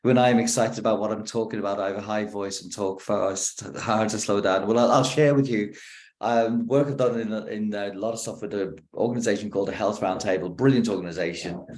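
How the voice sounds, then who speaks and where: An Irish man, a professor, giving a conversational talk on communication over a video call, with quick bursts of speech broken by deliberate pauses. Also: fairly low pitch, steady tone, darkish tone. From Ireland.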